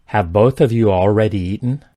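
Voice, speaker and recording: A man reading simple English practice sentences aloud, each one twice, in a careful, even delivery. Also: lowish voice, normal speed, dry recording. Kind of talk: slow repeated sentence reading for learners.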